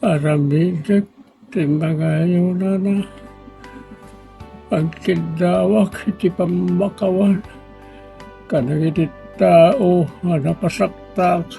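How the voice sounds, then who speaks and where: A man playing a sick, abandoned engineer in a wheelchair, speaking haltingly and with remorse in an Ilocano melodrama. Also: high-pitched, creaky, dark tone, heavily acted. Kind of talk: Ilocano radio-style melodrama.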